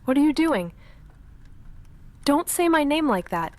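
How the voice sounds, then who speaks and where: A woman playing a shy, lovestruck vampire in an intimate ASMR roleplay, speaking softly and breathily with halting, confessional hesitation, often in a whisper. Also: sits high, big pitch swings. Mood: nervous, tender. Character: shy lovestruck female vampire roommate, confessing and self-restrained.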